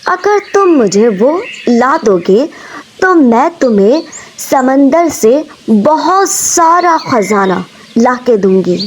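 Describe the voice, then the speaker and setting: Female storyteller as a cartoon mermaid, persuasive, possessive, insistent, animated pitch, slow delivery. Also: background noise, full character voice. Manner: coaxing, insistent.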